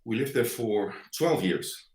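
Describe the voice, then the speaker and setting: A man from the Netherlands with a Dutch accent, a guest speaking English haltingly, often pausing to search for words. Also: medium pitch, lively intonation, darkish tone, roomy sound. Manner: hesitant, searching for words, many fillers. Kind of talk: conversational interview.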